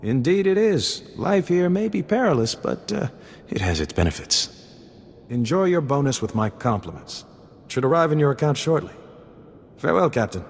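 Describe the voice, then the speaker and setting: Sly smuggler, florid, over-polite, long-winded, game dialogue, roomy reverb. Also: male voice, fairly high pitch, very animated, normal speed, gravelly, slight background noise. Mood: polite, amused. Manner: florid, mock-polite, wordy. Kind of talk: video game character dialogue. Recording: reverberant hall sound under music.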